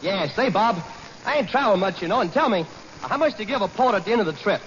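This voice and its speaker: A man's voice: sits high, expressive, fast talker. A man voicing a timid, naive young sidekick who whines, in a 1940s radio comedy. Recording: dull old radio, loud hiss.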